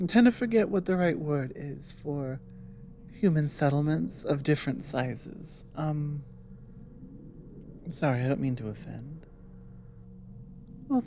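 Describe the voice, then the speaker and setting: A woman playing an earnest, apologetic female dragon in an ASMR audio roleplay, explaining things hesitantly in a soft voice. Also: low voice, a little hiss or hum, exaggerated voice. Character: earnest, apologetic female dragon warning a human ally of war.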